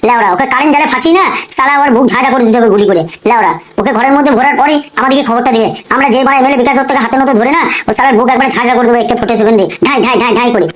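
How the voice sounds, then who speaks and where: A woman's voice: sits high, rapid-fire, hardly any pauses, natural voice. A woman voicing a short-tempered, foul-mouthed male gangster boss in a comic cartoon, blustering and repeating curses. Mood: menacing. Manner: blustering, curse-laden, repetitive. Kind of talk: amateur comic cartoon dialogue.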